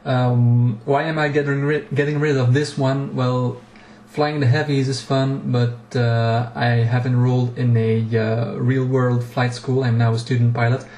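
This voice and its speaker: A man whose mother tongue is Dutch gives a casual home-video walkthrough in a mellow, unhurried voice, pausing often on fillers and restarting his sentences. It was caught on an action camera in a small, echoey room with a faint hum behind. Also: mid-range voice. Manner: hesitant, many fillers and restarts. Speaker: home cockpit builder and vlogger.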